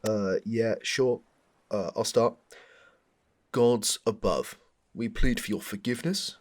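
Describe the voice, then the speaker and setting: A man acting an everyday character in a comedic roleplay, hesitant, apologetic and polite, with a gravelly, husky voice. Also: on the low side, takes it slowly. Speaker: roleplay actor playing an everyday shopper. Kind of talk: acted comedic POV roleplay.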